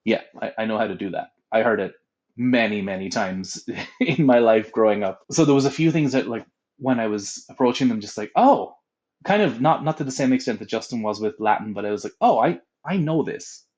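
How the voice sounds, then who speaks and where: A man's voice: fairly low pitch, expressive, a little fast, a little mellow. A young adult man, an audiobook voice actor, chatting casually in an interview with lots of filler words. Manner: rambling, many filler "like"s.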